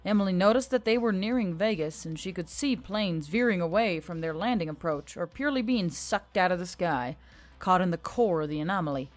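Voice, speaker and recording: A woman's voice: fairly low pitch, animated, a little fast. A woman narrator reading a dramatic action story from a script. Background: faint background music.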